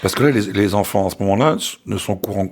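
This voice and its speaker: A radio interviewer with a deep, husky male voice. He asks his questions gently and haltingly, filling the gaps with hesitations. His tone stays low and breathy.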